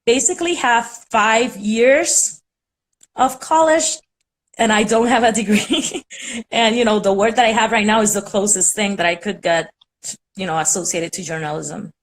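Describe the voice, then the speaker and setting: A young woman from Puerto Rico speaks English with a Puerto Rican accent, Spanish being her first language. She chats over a video call at a mid-range pitch, rambling and filling her sentences with fillers. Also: normal speed. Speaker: caller on a live stream. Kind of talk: casual chat.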